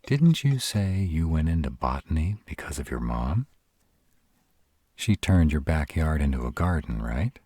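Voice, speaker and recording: A man playing a protective, caring were-dragon with dry humor in an intimate one-sided roleplay audio drama, speaking in a casual, measured way. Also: very animated, dark tone, no room sound, heavily acted. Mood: thoughtful, reassuring. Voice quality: very deep, husky. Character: gruff, protective male were-dragon, caring, dryly sardonic.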